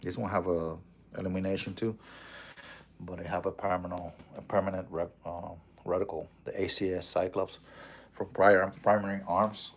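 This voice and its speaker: A man's voice: low voice, flat delivery, moderate pace. A man with a strong Puerto Rican accent hosting a casual livestream, rambling, swearing freely and answering viewer comments. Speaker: livestream host.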